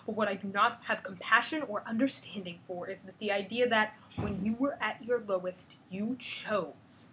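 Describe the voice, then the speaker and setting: A bitter superheroine speaks with quiet intensity, her words sinking to a whisper at the hardest moments, as a woman reads from a comic. She sounds cold and unforgiving. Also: mid-range voice, moderate pace, few pauses, background noise, lightly acted.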